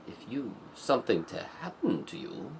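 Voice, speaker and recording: A man's voice: lowish voice, sing-song, moderate pace, gravelly, a little mellow, a little hiss or hum. A man voicing an insistent palace gate guard who pleads in a pompous, formal way. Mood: fussy pleading.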